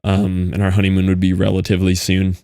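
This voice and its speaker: A man's voice: low voice, completely flat delivery, quick pace, warm tone, clear, resonant tone, no echo, studio quality. A young man casually chatting with his live-stream audience while playing, reading out and answering chat messages.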